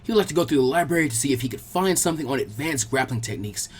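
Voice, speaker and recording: A man's voice: medium pitch, expressive, racing, soft background music, lightly acted. A man voicing a genial, crafty old village leader in an audiobook story, formal and teasing. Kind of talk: fan fiction audiobook character voice.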